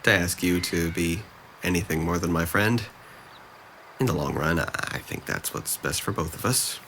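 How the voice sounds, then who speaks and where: Male actor for depressed young in a breakup drama: very low, bright-edged voice, halting, self-blaming. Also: slight background noise. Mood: anxious, resigned. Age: young adult. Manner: hesitant, halting, subdued. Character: depressed, self-blaming young man ending a summer romance. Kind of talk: naturalistic dramatic dialogue.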